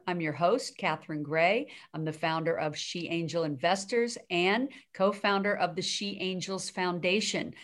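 A woman hosting a podcast interview, talking in an upbeat, enthusiastic way and cutting in to stress her guest's points. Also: medium pitch. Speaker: podcast host. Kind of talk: casual interview chat.

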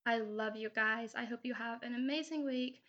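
A woman with a cold speaks in a fairly high voice as she hosts an affirmation podcast. She is earnest and encouraging, and drops in the odd swear word. The sound is a little dull and lo-fi. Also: normal speed. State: has a cold. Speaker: podcast host.

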